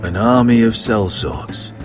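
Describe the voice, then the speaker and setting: An actor playing a determined, confident young exiled warrior in a fantasy game, terse and self-assured. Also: male voice, lowish voice, slow pace, over music. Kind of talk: dramatic video game dialogue.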